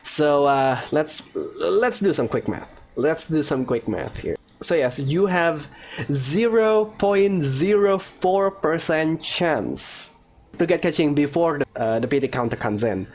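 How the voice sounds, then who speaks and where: A man's voice: on the high side, animated, takes it slowly, darkish tone. A man hosting a let's-play, giving informal gaming commentary and thinking aloud. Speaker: gaming video host. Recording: faint background noise.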